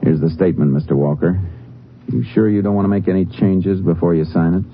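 An actor playing a calm, methodical Texas Ranger who investigates and narrates in a terse, probing manner in a 1950s radio drama. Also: male voice, deep voice, a little fast, slight background noise, close to an everyday voice. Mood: firm, dry. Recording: muffled old radio recording.

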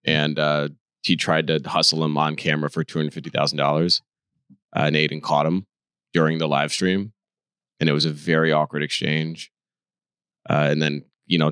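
This man has a very deep, smooth voice that sits well below most others. He co-hosts a casual podcast chat and strings long stories together with frequent fillers. The sound is dry and close. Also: fairly bright tone, no echo. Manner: recounts stories in long runs with many fillers. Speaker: podcast co-host.

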